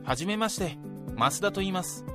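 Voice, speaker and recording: A man's voice: high voice, lively intonation, a little fast. A young man acting an earnest, polite junior salesman who speaks deferentially in a radio drama. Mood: eager, formal. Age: young adult. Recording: prominent music behind the voice.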